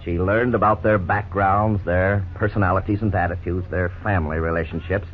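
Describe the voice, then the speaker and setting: A middle-aged man playing a lawyer who narrates and explains the case in a measured, formal way, in 1950s radio drama style. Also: low-pitched, steady tone, close to an everyday voice. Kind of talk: scripted narration. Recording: scratchy 1950s radio broadcast, tinny, faint hiss.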